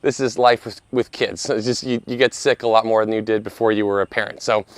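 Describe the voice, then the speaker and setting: A man in his thirties, slightly hoarse from a lingering cough, giving an earnest, conversational commentary with playful asides as host of his video channel. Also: fairly low pitch, steady tone, brisk, no room sound. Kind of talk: casual scripted commentary.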